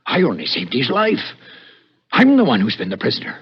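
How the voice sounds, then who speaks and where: Jittery, husky old scientist, wildly animated. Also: male voice, medium pitch, a little fast, heavily acted. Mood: anxious, resigned. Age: older. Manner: agitated, fretful, brusque. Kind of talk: old-time radio drama acting. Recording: muffled vintage 1960s radio recording.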